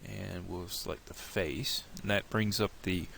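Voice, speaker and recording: A very low, gravelly male voice, a little nasal from a cold, talking through each step of a software tutorial. He rambles and restarts as he thinks aloud. A faint hiss of noise sits under the desktop mic. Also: steady tone, a little slow. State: has a cold, slightly nasal. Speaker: tutorial creator.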